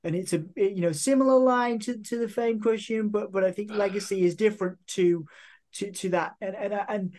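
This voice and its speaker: High-voiced halting male interviewer. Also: a little mellow. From United Kingdom. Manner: affirming, halting, many restarts. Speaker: interviewer host. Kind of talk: interview conversation.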